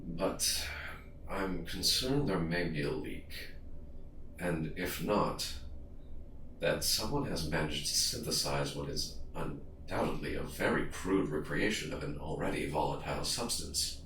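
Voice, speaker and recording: A haughty male scientist for an audio drama, with an extremely low voice. He speaks formally and condescendingly, in an echoing space with noise behind him. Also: measured pace, fairly bright tone. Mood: cool disdain. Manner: pompous, formal, precise wording. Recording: roomy echo with clear background noise.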